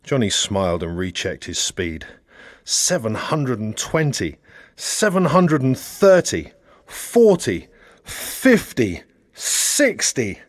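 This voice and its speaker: A man reading a satirical novel aloud as a narrator, with dramatic, expressive storytelling. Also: on the high side, dry recording, clean studio sound. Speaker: audiobook narrator.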